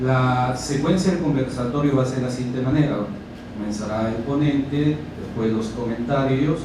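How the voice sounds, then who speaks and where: A man introducing a university talk and its speakers in a formal, ceremonious way. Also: mid-range voice. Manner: formal and ceremonious, with occasional fillers. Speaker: event moderator. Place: university lecture hall. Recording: echoey lecture hall from far off, background noise.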